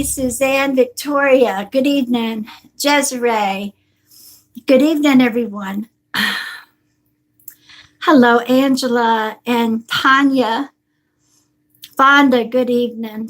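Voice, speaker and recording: Woman psychic hosting a live stream, high voice, slow delivery, congested from a cold. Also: low-quality recording. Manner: slow, warm greetings, calls viewers honey and sweetheart. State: stuffy with a cold. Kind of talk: live stream greeting viewers. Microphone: device on a table.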